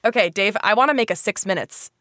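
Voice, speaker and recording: A woman hosts an educational podcast with a casual, chatty delivery. She is playful and self-deprecating, sprinkling "like" through her sentences. Her voice has an average pitch and sits in a very dry recording space. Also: fast talker, fairly bright tone, no room sound. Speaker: podcast host.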